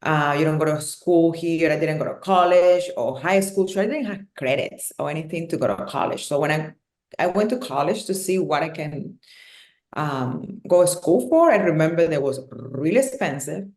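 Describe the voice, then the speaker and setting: Woman, Cuban accent, low voice, candid, laughing, echoey room. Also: animated, normal speed. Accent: Cuban Spanish. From Cuba. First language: Spanish. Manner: warm, candid, laughs often. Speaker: guest, hairstylist and educator. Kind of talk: casual podcast interview.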